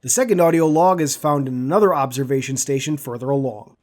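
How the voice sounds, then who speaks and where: A man's voice: medium pitch, quick pace, darkish tone. A man hosting a scripted commentary on video game lore.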